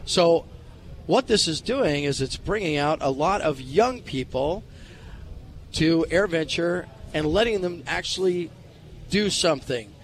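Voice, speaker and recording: A man's voice: fairly high pitch, expressive, unhurried. A man hosting live radio on location, chatty and announcer-like. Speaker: radio host and interviewer. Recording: ambient event noise behind voice.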